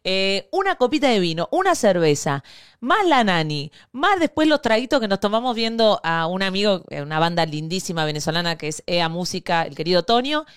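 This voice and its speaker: A woman's voice: mid-range voice, a little fast, smooth, dry recording, studio quality. A woman in her thirties with an Argentine accent, co-hosting a podcast in casual chat and acting out quotes as she tells stories. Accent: Argentine Spanish. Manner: animated storyteller, acts out quotes, laughs often. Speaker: podcast co-host.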